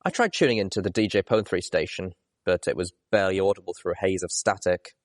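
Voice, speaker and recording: A man narrates a first-person story in a fairly low voice. His reading is expressive, in audiobook fashion, and the recording is dry with little room sound. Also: fast talker, no room sound. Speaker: audiobook reader.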